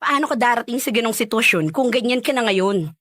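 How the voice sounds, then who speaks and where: Pushy, higher-pitched young friend. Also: female voice, animated, fairly quick, a bit bright, dry recording, studio quality. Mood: pushy, concerned. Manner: probing, blunt, advising. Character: nosy, frank young female friend, well-meaning but pushy. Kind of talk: chatty radio drama dialogue.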